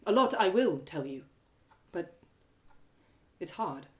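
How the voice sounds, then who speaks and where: A woman voicing a fierce, guarded, hot-tempered warrior woman in an audio drama, with curt, gruff delivery. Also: fairly low pitch, very animated, slow pace. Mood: halting, subdued. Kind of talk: one-woman audio drama character acting.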